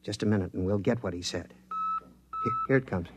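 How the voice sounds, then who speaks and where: A man playing an excitable space-project technician in 1950s science fiction radio drama, talking in a conversational, explanatory way. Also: on the low side, a little fast, noisy background, natural voice. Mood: eager, explaining. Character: excitable male space-project technician, chummy, increasingly shaken.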